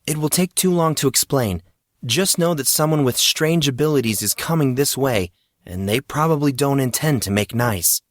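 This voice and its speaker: Man voicing an excitable, urgent young tech whiz. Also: medium pitch, normal speed, no room sound, clean studio sound, pitched above the performer's own voice, natural voice. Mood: urgent, alarmed. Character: agitated rambling young man, gadget expert.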